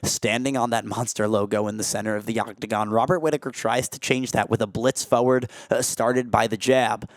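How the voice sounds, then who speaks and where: A young man calling a martial arts fight play-by-play, filling gaps with "uh" and jumping up in pitch and volume when big strikes land. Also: fairly even intonation, fairly quick, hardly any pauses, no echo, clean studio sound. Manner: frequent "uh" fillers. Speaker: play-by-play commentator.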